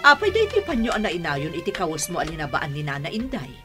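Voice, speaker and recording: A woman's voice: fairly low pitch, wildly swooping pitch, quick pace, music in the background. A woman playing a worried, caring mother of a grown son in an Ilocano radio drama, speaking in a pleading, motherly way. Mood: motherly probing.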